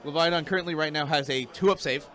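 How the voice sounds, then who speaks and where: A man commentating a tabletop game, speaking in a loud projecting voice. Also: fairly high pitch, fast.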